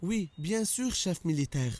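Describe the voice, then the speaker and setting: An actor playing a servile, nervous traitor disciple in a cartoon. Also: male voice, fairly high pitch, expressive, a little slow, darkish tone. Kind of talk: animated cartoon acting.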